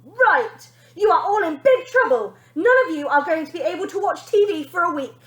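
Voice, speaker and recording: A woman voicing a bossy, spoiled young girl who shouts her demands indignantly, in an echoey room. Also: very high voice, big pitch swings, brisk, voice pushed up.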